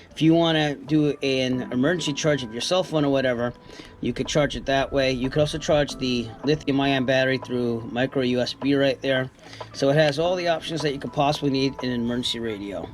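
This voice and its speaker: A man giving a casual, plain-spoken product review, explaining things step by step as he talks. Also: fairly high pitch.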